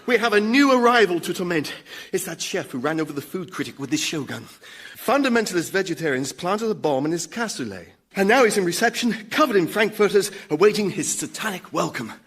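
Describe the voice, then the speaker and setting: A man playing an eager, obsequious demon servant in a radio sitcom, excitable and fawning. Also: sits high, fairly quick, husky, full character voice. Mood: gleeful.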